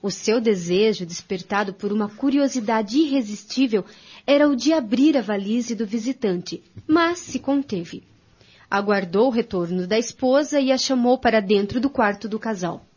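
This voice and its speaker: A woman narrates a scripted radio drama in a slightly high voice with a breathy rasp. The recording sounds dull and lo-fi. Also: animated, moderate pace, a little mellow.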